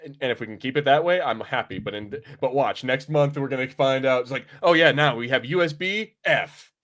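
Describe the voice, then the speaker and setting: A man's voice: mid-range voice, a little fast, fairly bright tone. Rambling man chatting on a podcast. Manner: rambling, acts out imagined lines. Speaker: podcast host. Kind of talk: casual review chat.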